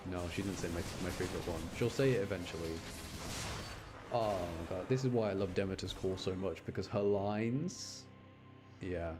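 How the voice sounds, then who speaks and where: A man's voice: low-pitched, normal speed, music in the background. A man giving casual, enthusiastic gameplay commentary in a rambling, slangy way. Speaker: game streamer.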